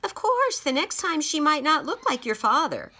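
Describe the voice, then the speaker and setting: A woman's voice: high voice, sing-song, moderate pace, pushed and bright, pitched above the performer's own voice, full character voice. A woman voicing an earnest, hopeful little girl in a storybook reading, speaking with eager emphasis. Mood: eager, matter-of-fact.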